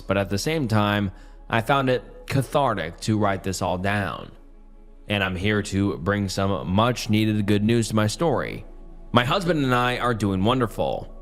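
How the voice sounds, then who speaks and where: A man's voice: fairly low pitch, a bit bright. Strained-voiced man narrating Reddit posts. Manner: casual, chatty delivery with fillers. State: strained, partly losing his voice. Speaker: YouTube story narrator. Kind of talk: reading Reddit posts aloud.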